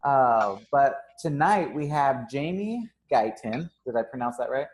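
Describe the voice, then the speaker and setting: A man's voice: mid-range voice, lively intonation, unhurried. Casual young man hosting a live stream. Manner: casual, filler-heavy, upbeat. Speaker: gym owner and host.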